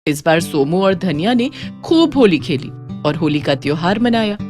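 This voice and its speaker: A woman's voice: fairly low pitch, lively intonation, normal speed, darkish tone. A woman narrating a scripted children's moral story. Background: music.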